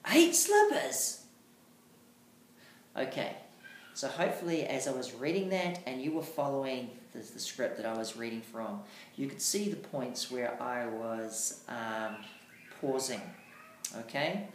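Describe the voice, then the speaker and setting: A teacher giving an instructional lesson on reading aloud, speaking deliberately and emphatically with marked pauses. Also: mid-range voice. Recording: echoey room, faint background noise.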